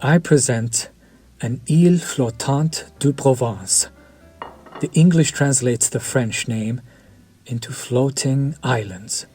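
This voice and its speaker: Vampire chef, played by a man: unhurried, husky, formal and seductive, over faint music. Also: mid-range voice, darkish tone. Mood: suave. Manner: polished, formal and flirtatious, lingering over words. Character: suave vampire chef, courteous, quietly predatory. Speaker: voice actor in audio roleplay. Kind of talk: scripted audio roleplay.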